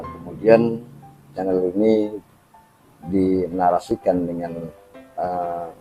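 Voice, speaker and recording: A man with a very low, dark voice hosts a reaction video, pausing often on hesitant fillers. The recording sounds dull and lo-fi, with faint music underneath. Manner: hesitant, many drawn-out fillers. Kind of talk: reaction commentary.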